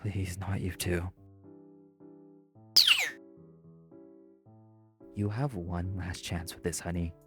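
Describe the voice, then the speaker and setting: A man playing a prim, exasperated waiter in a scripted audio roleplay, speaking formally with deadpan, condescending patience. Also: deep voice, moderate pace, over loud music, close to an everyday voice. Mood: low warning. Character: prim, exasperated, sarcastic young waiter boyfriend. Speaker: voice actor.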